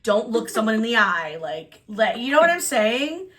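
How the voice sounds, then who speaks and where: A young woman from Buffalo, New York, hosting a podcast in casual chat and talking in an animated, meandering way. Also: a little high, normal speed. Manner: chatty, animated, trails off and restarts. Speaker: podcast host.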